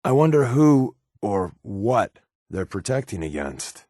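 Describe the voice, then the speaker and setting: An actor voicing a sardonic, wisecracking secret agent companion in a video game, with a dry, joking manner. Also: male voice, lowish voice, very animated, slow, warm tone, dry recording, full character voice. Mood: wry, casual. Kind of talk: game voice acting.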